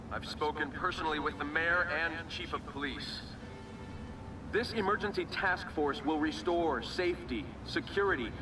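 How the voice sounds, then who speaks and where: A scheming politician played by a man gives a solemn public address in a game. His voice is fairly high and distant from the mic, with clear rumbling noise. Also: close to an everyday voice. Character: smooth scheming politician posing as public saviour.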